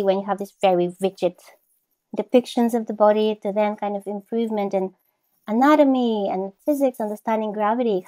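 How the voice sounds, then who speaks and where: A woman's voice: medium pitch, dark tone, no echo, poor audio. A woman with a Bulgarian accent giving thoughtful, enthusiastic, reflective answers as an academic interview guest. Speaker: guest, philosopher of science.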